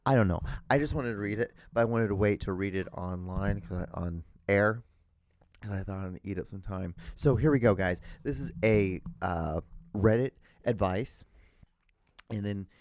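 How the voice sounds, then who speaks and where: A man with a very low voice hosts his own show alone, rambling and restarting his sentences. He has a loud voice, recorded dry and close on a handheld recorder. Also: moderate pace, dry recording. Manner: rambling, lots of fillers and restarts. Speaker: podcast host. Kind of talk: solo rambling podcast chat. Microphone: handheld digital recorder.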